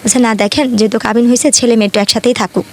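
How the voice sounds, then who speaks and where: Fast-talking, higher-voiced Bangladeshi woman. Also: steady tone, darkish tone. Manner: detailed retelling with frequent quoted dialogue. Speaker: guest telling own life story. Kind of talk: conversational personal storytelling.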